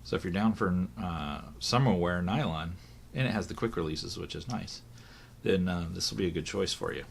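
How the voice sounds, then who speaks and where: A hoarse man, voice still recovering from illness, chatting casually through a product unboxing, thinking aloud with fillers and corrections. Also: sits low, moderate pace, a little hiss or hum. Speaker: watch reviewer.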